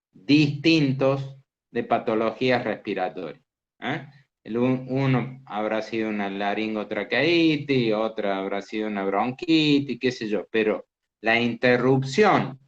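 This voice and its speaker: A man teaching a medical class, explaining slowly and asking students questions. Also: medium pitch. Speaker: medical lecturer. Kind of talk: interactive lecture. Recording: dull lo-fi class recording.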